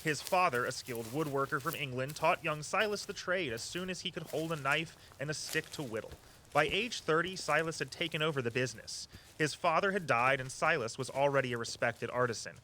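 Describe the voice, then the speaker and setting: An actor playing an eager, earnest walking-tour guide who tells local history with a storyteller's flair. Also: male voice, on the high side, brisk, pushed and bright, a little hiss or hum, low-quality recording. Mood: theatrical, storytelling. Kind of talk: audio drama dialogue, storytelling tour patter.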